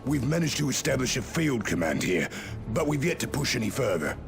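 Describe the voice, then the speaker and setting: A male actor playing a harried, gruff army field officer in a video game, with urgent military delivery. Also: mid-range voice, big pitch swings, brisk. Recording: loud battle music and artillery behind.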